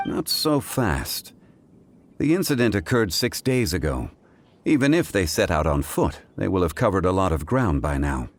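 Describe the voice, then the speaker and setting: An actor playing a composed, erudite gentleman investigator in a video game, speaking in a formal, deliberate, articulate way. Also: low voice, big pitch swings, a little hiss or hum. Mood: firm, calm.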